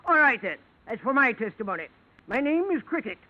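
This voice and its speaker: A courtly, shifty salvage man in a 1940s radio mystery. His voice is high for a man, with ornate, oily politeness and chuckling pomp. The old recording is dull and narrow. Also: sing-song, a little fast. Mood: amused, theatrical. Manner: florid, mock-polite, chuckling, orotund phrasing. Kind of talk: 1940s radio detective drama acting.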